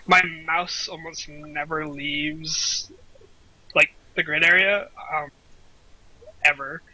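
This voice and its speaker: Man, very bright edgy tone, raspy, casual podcast co-host. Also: on the high side, low-quality recording. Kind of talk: casual group chat. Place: voice chat for a live online show.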